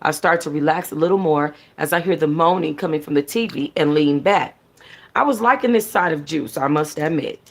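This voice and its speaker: A woman reading a novel aloud in an expressive, conversational, sassy way with casual slangy asides, as a book club host. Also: low voice, a little fast. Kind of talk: expressive first-person audiobook-style reading with casual asides.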